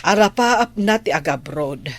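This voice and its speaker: A woman's voice: medium pitch, lively intonation, a little slow, no room sound. A woman acting a reproachful, opinionated middle-aged relative with a Filipino accent in an Ilocano radio drama.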